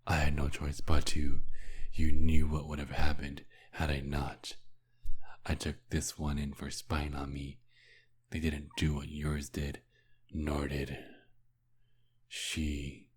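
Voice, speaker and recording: A man playing a cruel, mocking vampire kidnapper in an ASMR roleplay, talking slowly and menacingly with breathy, whispered edges. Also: rumbling low, completely flat delivery, heavily acted. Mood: angry, defensive.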